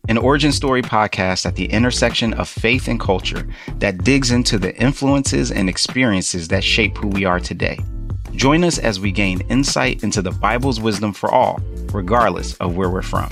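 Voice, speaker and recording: A man hosts a podcast and reads an upbeat, excited introduction before he turns to his interview questions. His voice sits a little low, and clear music plays under him. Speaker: podcast host.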